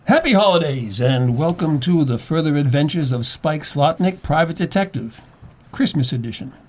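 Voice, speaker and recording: Man, fairly high-pitched, radio announcer, scripted play introduction. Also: animated, normal speed, darkish tone.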